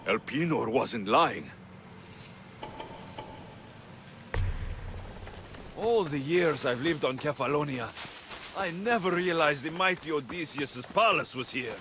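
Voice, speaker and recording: An actor playing a tough, irreverent young Greek mercenary in a video game, with a dry, sardonic, casual delivery. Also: male voice, a little high, animated, normal speed, fairly bright tone, a little hiss or hum. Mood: wry musing. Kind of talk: cinematic video game acting. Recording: background music under dialogue.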